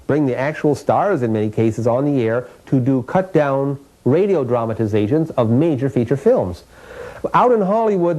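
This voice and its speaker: Mellow man explaining history. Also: mid-range voice, low-quality recording. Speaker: interviewed radio historian. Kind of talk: historical storytelling in an interview.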